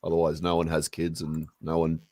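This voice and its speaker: A man's voice: extremely low pitch, monotone, a little fast, darkish tone, a little hiss or hum. A man guest in a casual political chat, blunt and swearing freely.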